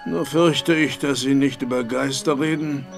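Brooding father, gravelly voice. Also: medium pitch, steady tone, measured pace, music in the background. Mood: wary, bitter. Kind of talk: period mystery radio play acting.

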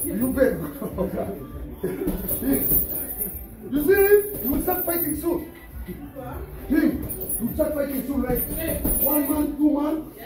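A man's voice: very high voice, expressive, slow pace, pauses often. A man coaching boxing in a gym, encouraging his students, repeating short phrases and laughing often. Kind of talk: coaching during a gym session. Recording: echoey gym from far off with music and crowd noise. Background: music and people in the gym.

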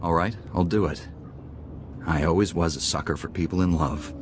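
An actor playing a calm, measured knightly hero in a video game. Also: male voice, low voice, a little hiss or hum, natural voice. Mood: composed. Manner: composed, measured.

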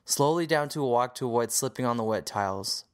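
A man's voice: mid-range voice, expressive, brisk. A man reading scripted story narration as the narrator of an audio drama.

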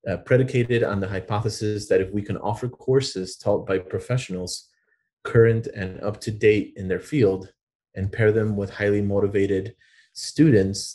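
A man's voice: fairly low pitch, darkish tone. A man, a design educator, presenting his program formally in a webinar, with frequent filler words.

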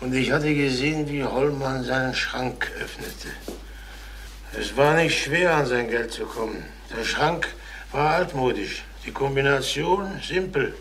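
An actor playing a troubled middle-aged ex-salesman turned burglar in a crime radio drama, halting, confessional and repeating his words. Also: mid-range voice, fairly bright tone, a little hiss or hum. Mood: brooding, confessional. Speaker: former travelling salesman.

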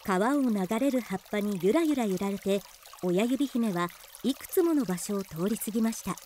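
A woman's voice: mid-range voice, expressive, moderate pace, mellow, slight background noise. A woman narrating a fairytale radio drama in scripted storybook style.

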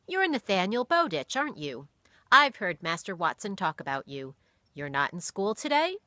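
A woman putting on a cheerful young pastor, loud and hearty, raised in pitch above plain talk. The tone is bright and forceful in a close, dry storybook reading. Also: very animated, normal speed, no echo.

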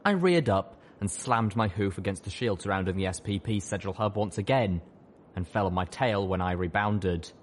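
Low-voiced man narrating a story. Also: normal speed, a little hiss or hum. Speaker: audiobook reader. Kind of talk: scripted dramatic story narration.

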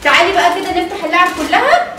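Egyptian woman in her 30s, quick and high-voiced, playful vlog host in an echoey home. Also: big pitch swings, crowd noise. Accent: Egyptian Arabic. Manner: chatty, playful, addresses viewers directly. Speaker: family channel host. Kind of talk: casual toy unboxing vlog. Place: living room at home, indoors. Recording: echoey tiled room, faint room noise.

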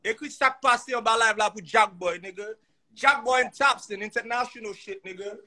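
Hyped Haitian-accented man, raspy and bright. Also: high voice, normal speed. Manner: hyped, mixes English with Creole and French. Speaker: guest rapper on a livestream. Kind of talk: casual livestream chat. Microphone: phone on a video call.

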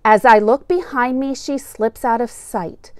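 A middle-aged woman reads a children's story with a teacher's deliberate expression. Her voice sits a bit high and moves at a measured, slowish pace, leaning on key words. The sound is close and dry. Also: darkish tone, smooth, dry recording. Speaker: second grade teacher reading aloud. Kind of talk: children's picture book read-aloud.

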